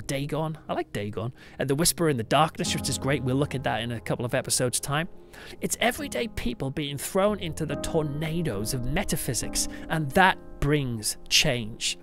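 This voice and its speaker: A man's voice: a little high, fairly bright tone. A man hosting a podcast in a reflective, semi-scripted monologue, earnest and thoughtful, with emphatic, dramatic phrasing. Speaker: podcast host, church pastor. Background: background music.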